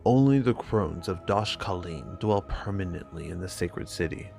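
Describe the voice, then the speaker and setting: A man performs a loyal, seasoned knight in exile from a fantasy saga. He sounds sober and measured, a touch low, with music behind. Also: lively intonation, vocal fry, darkish tone. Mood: sober explaining. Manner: grave, measured.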